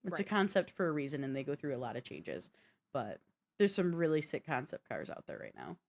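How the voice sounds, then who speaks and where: A woman's voice: rumbling low, very animated, brisk, gravelly, darkish tone. A woman co-hosting a casual tech and games chat, speaking informally and thinking aloud with some slang. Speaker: podcast co-host.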